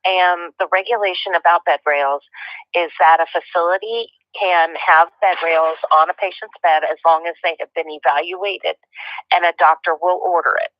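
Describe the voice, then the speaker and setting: A woman's voice: lowish voice, steady tone, moderate pace, no room sound. An American woman on a phone call, explaining procedure as an official in a matter-of-fact, instructive way. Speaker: state health department representative. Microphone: telephone. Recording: dull lo-fi telephone line.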